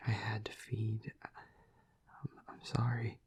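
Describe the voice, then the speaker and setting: A man playing a tormented, tender vampire lover in an intimate audio roleplay, halting and stammering in a breathy, husky half-whisper. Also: fairly low pitch, completely flat delivery, a little mellow, full character voice. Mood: guilty.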